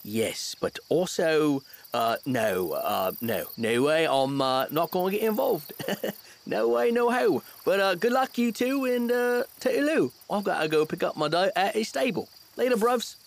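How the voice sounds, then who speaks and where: A man's voice: sits high, lively intonation, measured pace, vocal fry, heavily acted. A man playing a laid-back, cowardly blue selkie in a fantasy comedy audio drama, slangy and hesitant. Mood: nervous, evasive. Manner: slangy, hesitant, says bruv.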